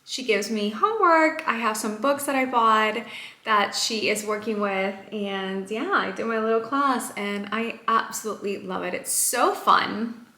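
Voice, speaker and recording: Bright, upbeat woman vlogging at home. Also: medium pitch, a little slow. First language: Spanish. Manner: upbeat, chatty and encouraging. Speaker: lifestyle vlogger. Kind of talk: casual chat to camera. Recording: roomy echo.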